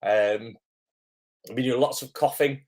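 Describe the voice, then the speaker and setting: A man whose voice is hoarse and a bit croaky from being ill talks casually to his livestream viewers as an acting coach. He keeps a chatty flow, dotted with "um" and greetings by name. His voice sits at an ordinary middle pitch. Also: unhurried, a little mellow. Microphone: camera microphone.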